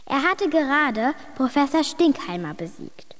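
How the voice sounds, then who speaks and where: A woman's voice: sits high, big pitch swings, a little slow, big-room sound, slight background noise. A woman playing a plucky, imaginative young girl who reads her adventure story earnestly and emphatically in a German radio drama. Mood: excited.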